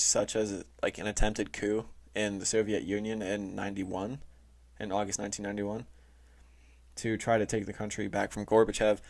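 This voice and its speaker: A man explaining things casually as a podcast host, halting and informal, often saying "like". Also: fairly low pitch. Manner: informal, halting, heavy use of "like". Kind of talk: casual explainer talk reading from notes. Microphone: close, touchy microphone.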